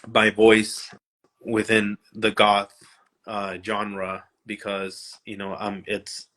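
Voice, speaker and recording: A man with a fairly low voice talks over a livestream video call. He speaks shyly and haltingly, stumbling and restarting his sentences as he goes. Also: completely flat delivery, a bit bright. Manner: shy, halting, many restarts. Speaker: musician, livestream guest. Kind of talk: casual livestream chat.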